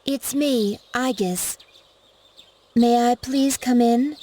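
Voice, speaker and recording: An actress voicing a gentle, earnest female android girl in a video game cutscene, speaking politely and softly. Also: fairly high pitch, a little hiss or hum. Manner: polite, soft-spoken, measured.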